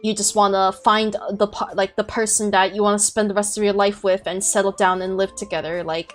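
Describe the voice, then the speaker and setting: A woman's voice: mid-range voice, level tone, fairly quick, soft background music. A woman in her twenties hosting a podcast, talking in a rambling, reflective way with many fillers and restarts. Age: 20s. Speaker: podcast host. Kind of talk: casual chat on a group call.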